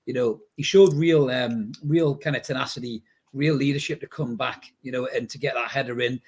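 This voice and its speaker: A man's voice: mid-range voice, steady tone, moderate pace, breathy edge. A man hosting a casual football podcast, chatting in a matey way with guests and commenters. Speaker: podcast host.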